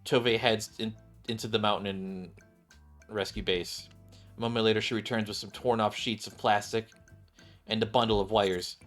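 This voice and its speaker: A man's voice: lowish voice, fairly even intonation, moderate pace, fairly bright tone. A man streaming a game, reading its story text aloud and often stumbling and rereading lines. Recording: game music behind the voice. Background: game music.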